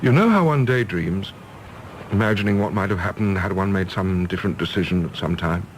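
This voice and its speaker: An actor playing an earnest, disillusioned middle-aged English diplomat in a radio play, with a formal English accent. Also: male voice, deep voice, brisk, lightly acted, low-quality recording. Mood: regretful. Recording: faint background noise and ambience.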